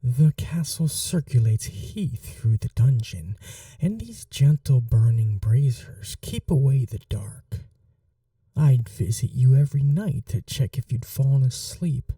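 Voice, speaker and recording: Casting: woman to play possessive vampire mistress for ASMR roleplay, very soft dark tone, slow teasing delivery, breathy whispers. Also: very deep voice, expressive, dry recording, far from the mic, exaggerated voice. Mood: tender, regretful. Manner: teasing, coaxing, unhurried. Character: cruel, doting vampire mistress toying with her captive.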